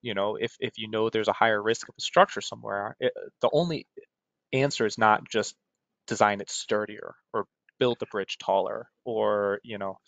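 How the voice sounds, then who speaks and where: A man's voice: fairly low pitch, steady tone, moderate pace, creaky, fairly bright tone. A man with an American accent gives an informal technical webinar presentation in a conversational way, often checking in with "right?". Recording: roomy, dull lo-fi webinar audio.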